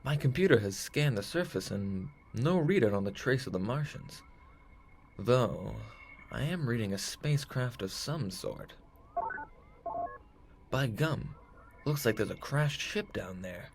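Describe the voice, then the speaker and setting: A man's voice: mid-range voice, expressive, measured pace, a little hiss or hum. A man playing an earnest, folksy heroic space captain in a retro sci-fi audio drama, full of old-fashioned exclamations. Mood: determined.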